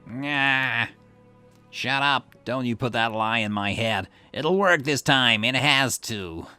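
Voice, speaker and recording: A man's voice: medium pitch, takes it slowly, bright, forceful tone, smooth, no echo, soft background music, pitched above the performer's own voice. A man reading game dialogue aloud, voicing a bitter, obsessive grieving man who snarls defensively and builds to outbursts.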